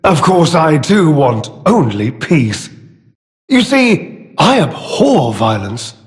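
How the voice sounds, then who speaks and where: Sly cowardly game villain, fake polite n theatrical, talks real slow, big echoey hall sound. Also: male voice, a little high, lively intonation. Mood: mock-polite, menacing. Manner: theatrical, halting, drawn-out phrasing with mock politeness. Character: scheming, cowardly male informant turned smug, self-serving villain. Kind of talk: video game character dialogue. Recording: echoey hall with long reverb.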